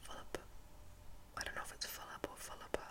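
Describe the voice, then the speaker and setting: A woman reads a children's storybook in a breathy ASMR whisper, halting now and then to correct herself. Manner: halting reading with slips and self-corrections.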